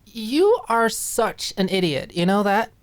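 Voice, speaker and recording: A woman's voice: mid-range voice, very animated, slow, pitched above the performer's own voice. A woman voicing a spunky, blunt young woman in an audiobook drama, exasperated and stern with her friend. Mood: teasing exasperation. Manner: stern, teasing, reprimanding.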